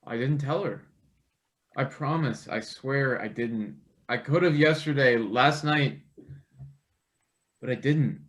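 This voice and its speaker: A man's voice: mid-range voice, slow, long pauses, close to an everyday voice. A man playing a defensive, wisecracking young intern in a play reading, wry and earnest. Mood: pleading, defensive. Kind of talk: live table read of a satirical stage play.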